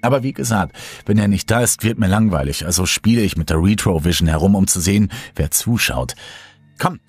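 A man's voice: low voice, animated, brisk, a little mellow, breathy edge. A man voicing a teasing cannibal killer woman. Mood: boastful. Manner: teasing, coolly condescending.

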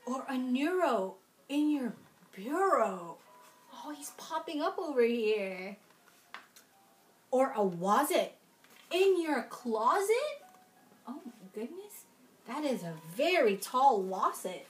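A young adult woman reading aloud at a children's storytime, expressive and sing-song, with little asides to young listeners. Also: sits high, slow pace, pauses often, soft background music. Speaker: children's storytime reader in princess costume. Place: small room at home, indoors.

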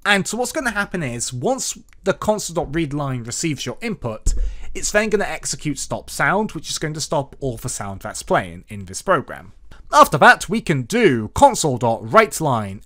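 Animated, higher-voiced man narrating a tutorial. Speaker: tutorial host. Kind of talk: instructional screencast narration.

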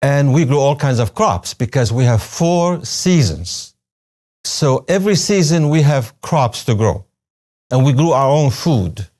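Older man, Syrian Arabic accent, native Arabic speaker, mellow tone, interview guest, personal recollection. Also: medium pitch, very animated, a little slow. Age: 60s or older. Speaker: interview guest, retired religion professor. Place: television studio, seated at a desk. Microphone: lapel mic.

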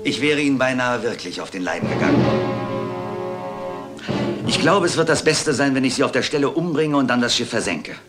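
Embittered retired commander played by a man: older villain, mid-pitched, highly animated, formal and self-important, music underneath. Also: brisk, long pauses, lightly acted. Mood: menacing. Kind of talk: German detective radio play acting.

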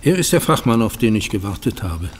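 A man's voice: lowish voice, very animated, fairly quick, a little hiss or hum. A man playing a courteous, anxious banker in a German radio play, speaking in formal, flowery phrases. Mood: polite, grateful. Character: polite, anxious male banker in financial ruin. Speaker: actor in an audio drama. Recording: long room reverb.

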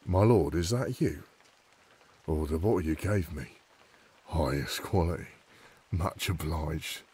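A man's voice: a bass voice, slow, darkish tone, slightly rough, full character voice. An actor playing a drunk, servile older peasant coachman in a video game, slurred and rambling. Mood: drunk, grateful. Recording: rain noise behind, slightly distant.

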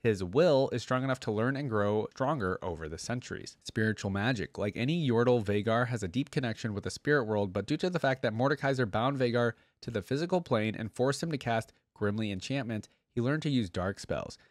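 A man's voice: medium pitch, animated, fairly quick, hardly any pauses, dry recording, clean studio sound. A man hosting a reaction video, reading aloud and breaking off for casual, exclaiming asides.

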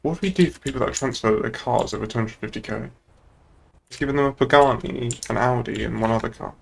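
A man's voice: medium pitch, fast, creaky, a little hiss or hum. A young British man chatting casually and informally while roleplaying as a moderator in an online game. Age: young adult. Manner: informal, sweary. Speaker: streamer, server moderator.

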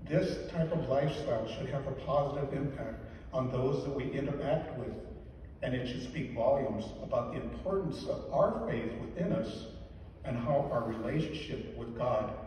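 A man's voice: mid-range voice, a little mellow, distant mic, slight background noise. Older man preaching in echoey church. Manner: measured, earnest, slow deliberate emphasis. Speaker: deacon or priest giving a homily. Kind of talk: weekday homily. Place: large church sanctuary. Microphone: lectern mic, filmed from the back pews.

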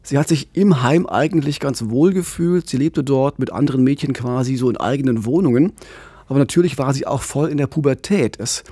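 A man in his forties hosting a true-crime podcast, calmly explaining at a studio microphone. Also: medium pitch, brisk, husky, no room sound. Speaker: podcast host. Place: radio studio. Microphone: studio condenser with pop filter.

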